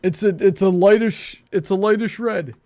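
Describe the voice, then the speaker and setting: A man hosting a livestream with casual banter, talking in a halting, good-humoured way. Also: high voice, a little mellow. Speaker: livestream show host.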